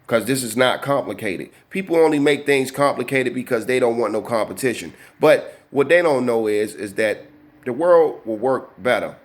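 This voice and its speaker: A man in his early forties talks straight to the camera from his desk. His delivery is loose and streetwise, full of slang and cursing. He keeps checking in with "you know what I'm saying" as he goes. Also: mid-range voice. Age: 40s. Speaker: channel host. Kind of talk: casual vlog talk to camera.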